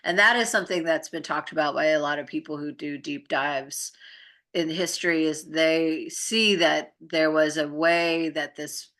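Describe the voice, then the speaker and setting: A woman's voice: low voice, a little slow, fairly bright tone. A woman in casual conversation, explaining her ideas in a rambling, reflective way with trailing phrases.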